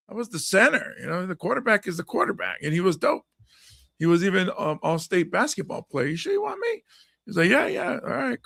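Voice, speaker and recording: A middle-aged man from Hawaii with a hoarse, raspy voice, hosting a podcast chat in a lively way, laughing often and acting out quotes. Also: sits high, no room sound, clean studio sound. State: hoarse from coaching. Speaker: podcast host and comedian.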